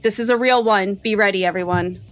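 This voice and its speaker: A woman's voice: mid-range voice, fairly quick, no room sound, soft background music. A woman co-hosting a casual film podcast, chatting in a playful, emphatic way. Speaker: podcast co-host.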